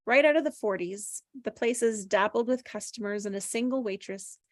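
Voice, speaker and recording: A woman's voice: medium pitch, moderate pace, no echo. Woman reading stage directions, dry sound. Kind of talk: scripted narration at a table read.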